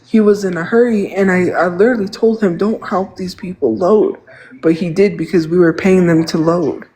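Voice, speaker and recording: A man's voice: high-pitched, fairly even intonation, normal speed, mellow. A man in his thirties with a congested nose, rambling and venting in casual livestream chat. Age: 30s. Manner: rambling, venting, repeats himself.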